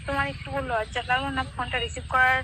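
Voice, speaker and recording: Hurt young woman, talking fast by phone. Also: high voice, fairly even intonation, slight background noise. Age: young adult. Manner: hurt, accusing. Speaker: girlfriend on a phone call. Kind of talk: emotional personal phone argument. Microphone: phone call played aloud. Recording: phone call with music behind. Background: music.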